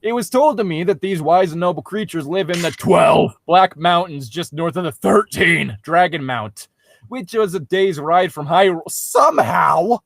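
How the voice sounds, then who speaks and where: Sarcastic man with highly animated pitch. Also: moderate pace, vocal fry, no echo. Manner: nitpicking, sarcastic interjections. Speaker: co-host of a comedic fan fiction reading show. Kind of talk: mocking read-aloud with casual commentary.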